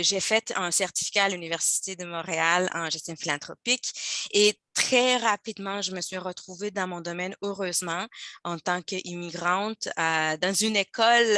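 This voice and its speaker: A woman with a Mexican accent and Spanish as her first language gives an informal testimonial. Her voice is bright and forward, recorded dry with little room sound. She sounds enthusiastic but halting, often repeating a word before moving on. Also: medium pitch, no echo. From Guadalajara, Mexico. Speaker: former mentee giving a testimonial. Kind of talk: informal testimonial in an online talk.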